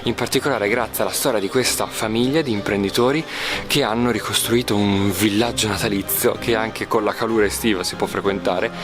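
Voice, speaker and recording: A man presenting a travel documentary, speaking in a measured way with some hesitations. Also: on the low side, steady tone. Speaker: travel reporter. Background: music.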